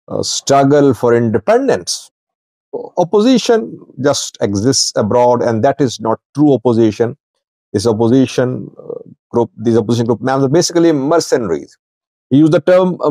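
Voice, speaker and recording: Middle aged guy doing news commentary, kinda mellow voice, talks in choppy halting bits, dry room sound. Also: lowish voice, no room sound. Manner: halting delivery in short broken phrases. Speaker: news commentator, channel host. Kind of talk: informal political news commentary to camera. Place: small indoor office with wood-panelled wall. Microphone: small clip-on lavalier at chest.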